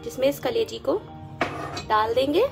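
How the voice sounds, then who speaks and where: Calm woman explaining a recipe. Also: high-pitched, normal speed, gravelly. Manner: calm, explanatory, with small hesitations. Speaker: cooking channel host. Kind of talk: step-by-step recipe instruction. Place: home kitchen at a gas stove. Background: background music.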